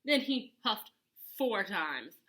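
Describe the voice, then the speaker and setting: A woman reading a picture book aloud to children as an expressive storyteller, dramatic and full of emphatic pauses. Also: mid-range voice.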